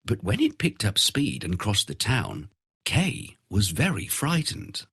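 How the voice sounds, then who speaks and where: A man narrating a fairy tale from a script in an expressive storytelling manner. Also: fairly low pitch, unhurried, husky.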